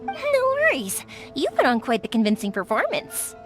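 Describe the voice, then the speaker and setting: A woman's voice: high voice, wildly swooping pitch, normal speed, music in the background. A young woman voicing a cheerful, playful fireworks shop owner in a video game dub, bubbly and giggly with folksy phrasing.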